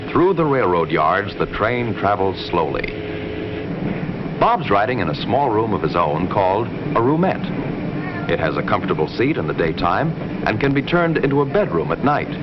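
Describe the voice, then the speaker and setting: A man narrating an old 1950s educational film from a script, in a measured, explanatory way with simple sentences, on an old film soundtrack. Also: fairly low pitch, muffled recording, noisy background.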